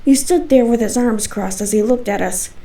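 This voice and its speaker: A woman's voice: on the high side, normal speed, dark tone, far from the mic. A woman narrating a scripted first-person story as its storyteller. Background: music.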